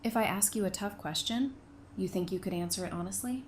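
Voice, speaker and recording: A woman acts a frank, guarded female survivor in an audio drama. Her voice sits at a middle pitch with a dry, blunt delivery, over faint background ambience. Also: a little fast, a little hiss or hum, lightly acted. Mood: probing, sympathetic.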